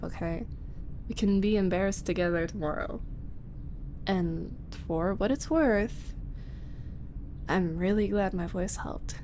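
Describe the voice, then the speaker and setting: Woman voicing a young female roommate, shy ASMR creator, soft half-whisper, unhurried, faint hum. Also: mid-range voice, close to an everyday voice. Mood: tender. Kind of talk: ASMR audio roleplay.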